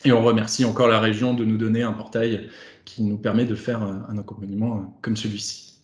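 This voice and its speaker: A man's voice: lowish voice, flat delivery, fairly quick. Male webinar host, wordy explanatory delivery with hesitations, roomy echoing call sound. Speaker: host and facilitator of an online webinar. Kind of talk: semi-formal webinar moderation. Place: remote video conference.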